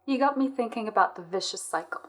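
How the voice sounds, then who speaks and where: A woman's voice: on the high side, animated, fast talker. A woman interviewing on a podcast in a conversational style, sharing personal examples.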